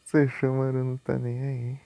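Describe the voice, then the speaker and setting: A Brazilian man streams a video game with informal, chatty commentary, thinking aloud as he plays. His voice sits at an average male pitch. The room adds some echo, and faint game music plays underneath. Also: completely flat delivery, normal speed, gravelly, mellow. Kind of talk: casual live gameplay commentary.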